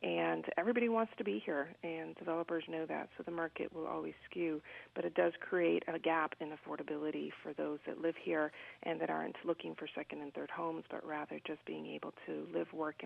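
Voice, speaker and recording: A woman's voice: low-pitched, level tone, a little fast, gravelly, husky. A woman from Colombia, a business guest speaking earnestly and articulately in an interview, with frequent ums. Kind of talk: interview conversation. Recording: phone-like narrow radio sound.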